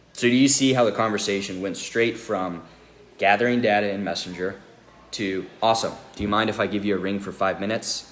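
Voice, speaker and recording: Low-voiced man coaching in an echoey room. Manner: conversational, instructive, often checking in with "right?". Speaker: sales trainer. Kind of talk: informal training talk. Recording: echoey room with faint noise.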